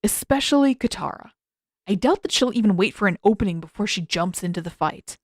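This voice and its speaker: A woman's voice: mid-range voice, animated, a little fast, no echo, close to an everyday voice. A woman narrator acts out a sarcastic teenage boy from an adventure story. He talks casually and with exasperated emphasis, blunt but protective underneath. The sound is very dry, with no room around it. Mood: grudging, annoyed.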